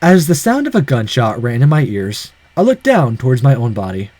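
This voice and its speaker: A man's voice: medium pitch, huge pitch swings, moderate pace, a little mellow. A man reading a visual novel aloud, mixing dramatic narration with casual asides.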